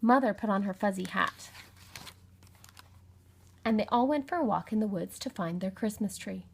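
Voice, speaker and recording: A woman's voice: mid-range voice, normal speed, vocal fry, breathy edge. A woman narrating a children's story from a script, as an audiobook reader.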